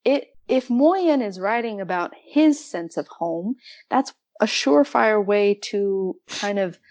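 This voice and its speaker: A woman's voice: mid-range voice, animated, slow pace, darkish tone, no echo. A woman with an American accent, from rural Arizona, talking casually and reflectively about literature as a podcast guest. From rural Arizona, United States. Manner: chatty, reflective, laughs readily.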